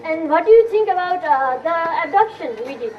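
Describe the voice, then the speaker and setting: Very high-pitched young woman as a grumpy father. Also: expressive, moderate pace. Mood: grumpy, sly. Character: grumpy, sly father of the groom in farce. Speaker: student actor. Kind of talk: amateur stage play acting. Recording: distant mic, muffled, noisy room.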